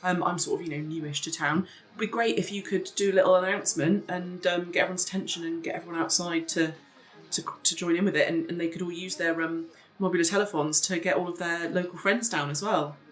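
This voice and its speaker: Chatty player pitching a protest. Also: fairly even intonation, quick pace, fairly bright tone, soft background music. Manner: chatty, persuasive. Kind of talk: casual game chat and in-character talk.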